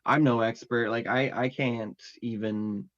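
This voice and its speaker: A man's voice: mid-range voice, fairly even intonation, a little slow. A man chatting casually as an interview guest about his music, rambling and full of fillers. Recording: dull lo-fi audio.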